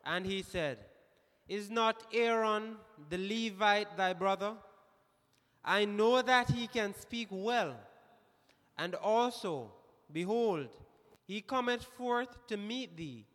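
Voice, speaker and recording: A man reading scripture aloud in a church service, formally and with emphatic, deliberate phrasing. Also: high-pitched, a bit bright. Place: church hall with long reverb. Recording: echoey hall, long reverb.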